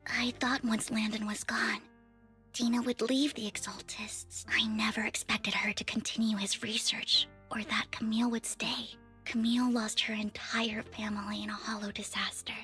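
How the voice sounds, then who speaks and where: An actress playing an anguished, guilt-ridden young woman in a video game, soft and breathy as she confides. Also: on the high side, little pitch movement, moderate pace, soft background music. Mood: hushed regret. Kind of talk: dramatic video game voice acting.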